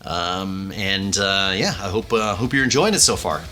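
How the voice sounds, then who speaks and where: A man speaking casually and effusively as an audio drama director, halting as he finds his words. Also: sits low, lively intonation, sharp tone, soft background music. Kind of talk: casual, unscripted behind-the-scenes talk.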